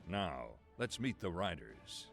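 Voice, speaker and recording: A man reading formal scripted narration for a sports broadcast, measured and even. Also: low-pitched, vocal fry. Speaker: announcer. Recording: voice-over with music bed. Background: music.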